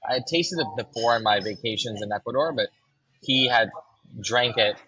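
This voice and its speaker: A man from Los Angeles, a brand co-founder, talking casually in a podcast interview and pausing on ums and restarts. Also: mid-range voice, husky, no room sound, muffled recording. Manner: relaxed, fills with ums and restarts.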